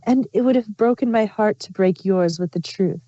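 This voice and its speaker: A woman's voice: mid-range voice, moderate pace, mellow, close to an everyday voice. A woman playing a sly, wistful changeling queen villain in a fan fiction reading. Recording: muffled, roomy home recording.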